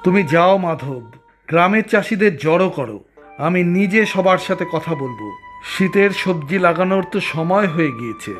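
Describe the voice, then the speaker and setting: An authoritative government officer in a village cartoon. The voice is rather high, with a formal, explanatory manner. Light music plays in the background. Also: male voice, lively intonation, normal speed, fairly bright tone. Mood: instructing. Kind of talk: Bengali cartoon voice acting.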